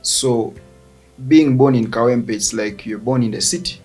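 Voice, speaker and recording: A man's voice: medium pitch, normal speed, darkish tone, breathy edge, faint music behind. A man hosting an interview show, asking polite questions and humming acknowledgements. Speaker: talk show host.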